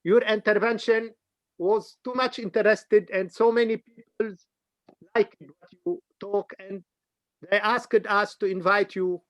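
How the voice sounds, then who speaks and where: A man talks over a video call with a dry, close sound. He speaks English haltingly, his being Arabic, pausing often on fillers. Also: sits high, fairly even intonation, no room sound. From Lebanon. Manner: halting, many fillers and restarts. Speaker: interviewer and event organizer.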